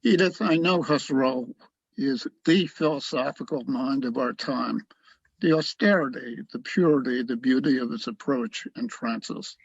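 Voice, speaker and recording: A man's voice: medium pitch, measured pace, gravelly, husky, no echo, muffled recording. A man acting in a radio play as a cocky, awkward young philosophy student, emphatic and argumentative. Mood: enthralled.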